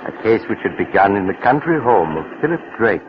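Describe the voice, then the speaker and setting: A muffled, clearly noisy 1940s radio recording carries a sinister storyteller. His deep male voice is theatrical and ominous, relishing each macabre phrase. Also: very animated, fairly quick. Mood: measured, intriguing. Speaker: host narrator. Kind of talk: 1940s radio mystery narration.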